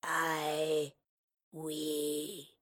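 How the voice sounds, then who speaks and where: A woman voicing an inhuman, menacing shadow creature with a rasping, husky voice in a horror audiobook. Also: low voice, completely flat delivery, very slow, a bit bright, dry recording, studio quality, pitched below the performer's own voice, exaggerated voice. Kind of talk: horror audiobook character acting.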